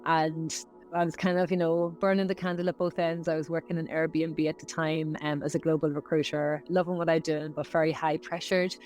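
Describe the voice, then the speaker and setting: A woman's voice: deep voice, level tone, brisk, a little mellow, faint music behind. Reflective Irish woman guest. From Ireland. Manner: hesitant, reflective, many fillers. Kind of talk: casual interview chat.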